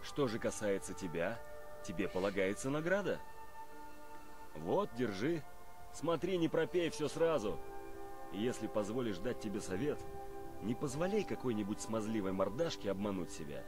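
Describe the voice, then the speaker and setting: A stern fantasy game warrior, low-ish and steady, music in the back. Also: male voice, normal speed. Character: stern male warrior, bounty hunter. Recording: game audio under music.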